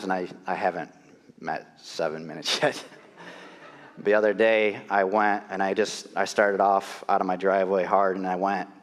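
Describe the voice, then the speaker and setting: A middle-aged male pastor with a cold and a nasal voice, preaching a sermon in a casual, storytelling way in a church. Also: low-pitched. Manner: conversational, storytelling, self-deprecating. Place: church sanctuary. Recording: long reverb of a church hall.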